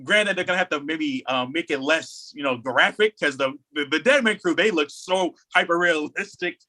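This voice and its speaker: A man's voice: fairly high pitch, normal speed, bright, forceful tone. A man co-hosting a podcast in casual chat, rambling and opinionated, often repeating his phrases. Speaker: podcast co-host.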